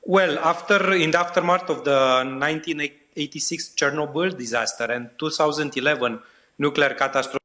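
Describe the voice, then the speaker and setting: A man who chairs a parliamentary committee reads a prepared statement, formal and steady, adding filler pauses when he goes off script. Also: a little high.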